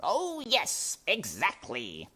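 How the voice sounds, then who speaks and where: A woman voicing a villainous male rat, gloating and theatrically courteous, savouring drawn-out words. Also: fairly low pitch, huge pitch swings, sharp tone, husky, pitched above the performer's own voice, exaggerated voice. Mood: mocking, amused.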